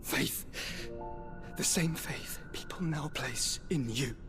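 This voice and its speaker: Gentle dying brother for a game drama: solemn, halting, near-whispered, slow delivery over swelling music. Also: male voice, fairly high pitch. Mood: earnest, fading. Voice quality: breathy, often dropping to a whisper. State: weak, strained, fading. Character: gentle dying younger brother, earnest and devout. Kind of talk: scripted game cutscene acting.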